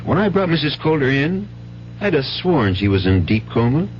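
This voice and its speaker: A man's voice: medium pitch, sing-song, normal speed, a little hiss or hum, close to an everyday voice. A man playing an earnest, worried young hospital intern in a 1970s radio drama, with a grave manner. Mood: baffled. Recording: muffled vintage radio broadcast.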